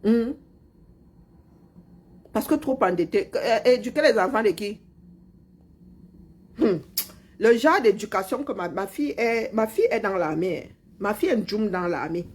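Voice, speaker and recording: A woman's voice: medium pitch, lively intonation, a little slow, long pauses, darkish tone, husky. A middle-aged woman, mockingly scolding a politician in a heated live-stream rant. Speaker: commentator replying to a politician.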